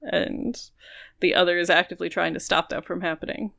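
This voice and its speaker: A woman's voice: on the low side, fairly even intonation, fairly quick, gravelly, a bit bright. A woman co-hosting a podcast, chatting about a book in a frank, informal way. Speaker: podcast co-host. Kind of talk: casual book discussion.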